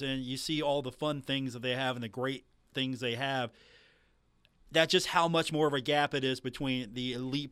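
A man's voice: medium pitch, fairly bright tone, smooth, no room sound. A man hosting a sports radio show, talking conversationally as he interviews a guest.

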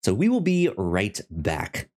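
A man hosting a casual podcast discussion, speaking in a halting way with repeated words. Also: sits low, huge pitch swings, darkish tone. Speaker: podcast host.

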